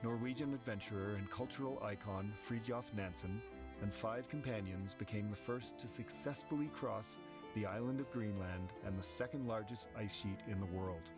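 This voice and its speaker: A man narrates a documentary in a measured, steady read, his voice sitting low. A clear music score runs underneath the voice-over.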